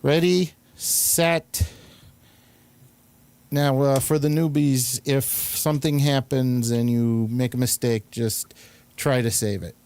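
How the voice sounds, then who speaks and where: A man's voice: mid-range voice, steady tone, takes it slowly, a little mellow, clean tone, no echo. A man hosting a talk show, chatting casually with a rambling, informal and complimentary manner. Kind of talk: casual studio chat, introducing guests.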